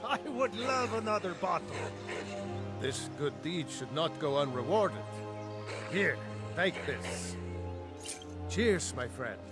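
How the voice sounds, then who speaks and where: An actor playing a merry, tipsy, hearty reveller in a fantasy video game. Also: male voice, fairly high pitch, very animated, slow pace, pauses often. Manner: hearty, effusive. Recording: game music behind the voice.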